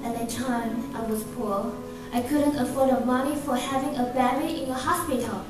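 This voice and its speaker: A young woman plays a tender, grateful mother in a radio drama. Her voice is high and sits far from the mic in a very echoey hall, with music behind. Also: steady tone, moderate pace, natural voice. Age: young adult. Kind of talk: student radio drama acting.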